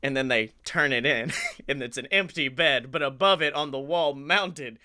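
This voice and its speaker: A man hosting a comedy podcast in a loud, sweary style of banter with mock announcer hype. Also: mid-range voice, normal speed. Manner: boisterous, sweary.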